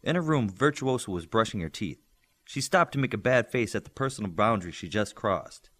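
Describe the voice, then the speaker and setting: A man's voice: lowish voice, animated, fairly quick, fairly bright tone. A man narrating a scripted novel as an audiobook reader. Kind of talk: scripted fiction narration with character dialogue.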